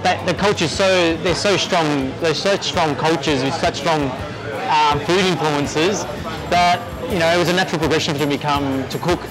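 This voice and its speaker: Easygoing Australian man, fairly high voice. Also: a crowd in the background, poor audio. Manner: easygoing, halting with many restarts and fillers. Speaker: executive chef, interview guest. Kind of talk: casual interview chat. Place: restaurant.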